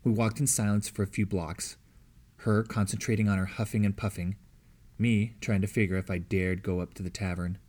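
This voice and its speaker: A man's voice: on the low side, steady tone, normal speed, darkish tone. A man reading a story aloud as scripted narration. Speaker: audiobook reader.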